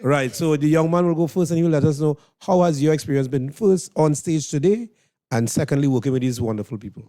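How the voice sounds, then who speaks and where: A man's voice: a little high, quick pace, mellow. A man with a Trinidadian accent hosting a casual interview as the one asking questions. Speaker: interviewer and host.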